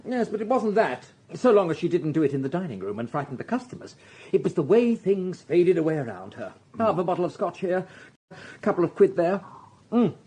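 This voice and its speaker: An actor playing a shifty, catty hotel proprietor with an arch, disdainful manner in a radio drama. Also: male voice, sits high, big pitch swings, brisk, mellow, husky, full character voice. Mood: catty, gossipy. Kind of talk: British radio drama acting.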